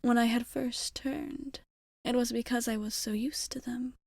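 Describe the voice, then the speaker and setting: A woman voicing a gentle, wistful, centuries-old female vampire in a soft, breathy, whispery ASMR roleplay, with measured, formal wording close to the mic. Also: fairly high pitch, fairly even intonation, no echo. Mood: wistful, reflective. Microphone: close microphone.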